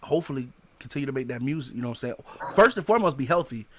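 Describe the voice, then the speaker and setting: A man's voice: mid-range voice, animated, racing, no room sound. A man hosting a casual podcast interview in loose, slangy talk, often adding "you know what I'm saying". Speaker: podcast host.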